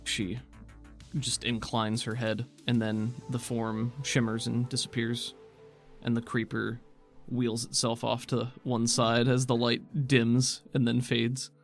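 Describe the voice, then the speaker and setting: A game master narrating scenes and explaining rules in a measured, casual way. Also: medium pitch, completely flat delivery, faint music behind.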